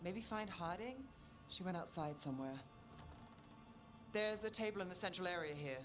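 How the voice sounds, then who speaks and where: A woman's voice: lowish voice, lively intonation, normal speed, noisy background, natural voice. A woman playing a cool, practical, dryly understated mage companion in a fantasy video game. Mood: dry, composed.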